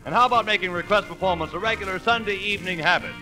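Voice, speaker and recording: A man's voice: sits high, racing, pushed and bright, soft background music. A male announcer reading scripted commercial copy in a folksy, salesmanlike 1940s radio style. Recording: vintage 1940s radio broadcast.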